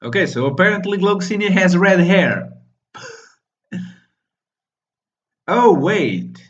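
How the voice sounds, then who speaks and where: Reaction host, man, English not his first language, slow and excitable with frequent laughs, on a dull lo-fi recording. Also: sits high. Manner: excitable, laughs often, reads lines aloud. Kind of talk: live reading and reaction.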